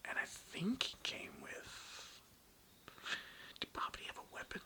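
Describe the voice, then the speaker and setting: A young adult ASMR creator whispering breathily in a casual, slangy, rambling way with some swearing. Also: measured pace. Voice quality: breathy, mostly unvoiced whisper. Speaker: ASMR video creator and host.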